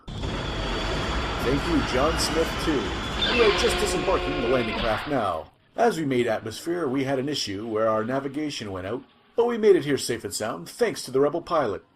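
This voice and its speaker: A man's voice: mid-range voice, big pitch swings, normal speed, a little hiss or hum, natural voice. A man playing an earnest futuristic news reporter in a scripted sci-fi audio drama.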